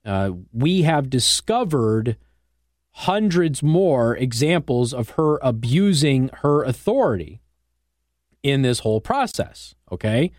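A man's voice: mid-range voice, no room sound. American male talk radio host, dry studio sound. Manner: conversational, emphatic, with rhetorical questions and pauses for effect. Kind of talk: conservative talk radio monologue with host-read ads. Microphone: close broadcast microphone.

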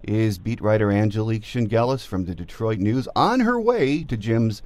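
A man's voice: on the low side, animated, moderate pace, darkish tone, no echo. A man hosting a sports podcast, delivering scripted commentary as a plain-spoken, opinionated fan.

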